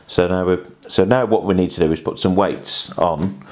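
A man in his thirties, a fishing coach, showing how to tie a rig at a kitchen table in a chatty, halting way full of restarts and tag questions, on a lapel mic. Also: low voice. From England. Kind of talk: hands-on demonstration chat. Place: indoors, small home kitchen table.

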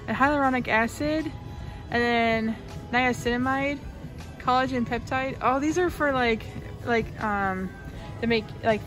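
A young woman talks through a store aisle as she films on her phone, reading out labels and prices in a casual, rambling way. Her voice sits a little high, and faint music plays in the background. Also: poor audio. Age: young adult. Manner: chatty, reads out labels and prices, lots of fillers. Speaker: shopping vlogger. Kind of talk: casual shop-with-me narration.

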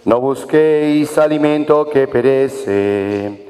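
A man's voice: medium pitch, takes it slowly, warm tone. A man with a Mexican Spanish accent, singing a communion hymn as cantor at mass, drawn out and devotional. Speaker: church cantor. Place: parish church during mass. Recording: long church reverb with accompaniment.

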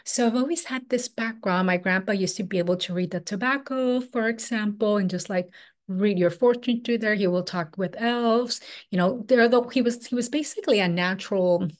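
A woman, teaching a spiritual workshop over a video call in a loose, flowing way with many "like"s. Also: medium pitch. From Peru. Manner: casual, flowing, filler-heavy with frequent "like" and "um". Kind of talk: online workshop presentation. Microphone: webcam on video call.

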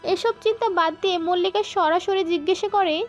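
A woman narrates a scripted romance in a very high, girlish-pitched voice. The sound is slightly dull and lo-fi, with soft music playing underneath. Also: normal speed. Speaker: story narrator.

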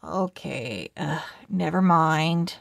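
A woman's voice: deep voice, takes it slowly, vocal fry, no room sound, studio quality, pitched below the performer's own voice, full character voice. A woman voicing an eager, put-upon little male pug from a children's storybook, earnest and hesitant. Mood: hesitant, deflated.

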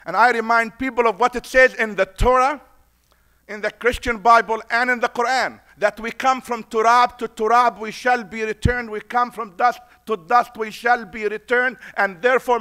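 A man's voice: very high voice, fairly even intonation, moderate pace, pushed and bright, clear, resonant tone. A middle-aged man with a foreign accent, an imam giving a conference speech at a podium, preacher-like and repeating lines for emphasis. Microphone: podium mic.

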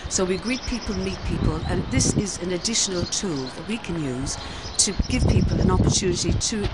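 Earnest female deputy head, outdoors. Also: lowish voice. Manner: earnest, measured, formal phrasing. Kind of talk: interview answers. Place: school playground outdoors. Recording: loud outdoor background noise.

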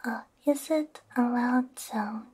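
A young woman performing a gentle, soothing ASMR roleplay in a hushed whisper with soft hums, close on a binaural microphone. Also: on the high side, slow, husky.

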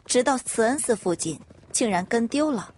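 A woman narrating a web audio novel in an even storytelling manner. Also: fairly high pitch, moderate pace, breathy edge.

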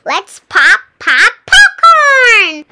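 A children's read-aloud host reading a storybook in an animated, deliberate, sing-song way. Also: very high-pitched, heavy background noise.

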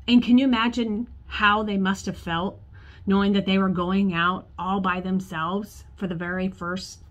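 Woman pastor preaching from her office. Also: medium pitch. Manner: storytelling, acts out quoted voices, gestures with hands. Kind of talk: sermon. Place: church office with bookshelves, indoors.

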